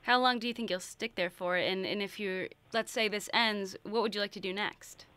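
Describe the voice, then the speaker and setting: Smooth, clear American woman interviewing. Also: medium pitch, fairly even intonation, normal speed, sharp tone. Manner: long, meandering questions. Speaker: radio host interviewer. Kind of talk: conversational radio interview.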